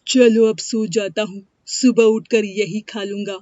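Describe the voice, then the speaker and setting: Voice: mid-range voice, fairly even intonation, unhurried, darkish tone, no room sound, natural voice. A performer voicing a poor, humble cobbler boy in a story, polite and plaintive. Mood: forlorn. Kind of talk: animated moral-story dialogue. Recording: dry, dull lo-fi studio sound.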